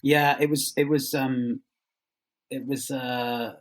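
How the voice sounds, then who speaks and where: A man being interviewed as a writer, speaking reflectively and haltingly as he searches for words. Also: mid-range voice, little pitch movement.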